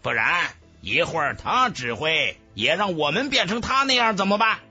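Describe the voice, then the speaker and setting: A man's voice: fairly high pitch, expressive, moderate pace, sharp tone, husky, muffled recording, soft background music, full character voice. A man voicing a pushy, gloating young male rival in an audiobook, with a sneering, provoking manner. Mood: challenging.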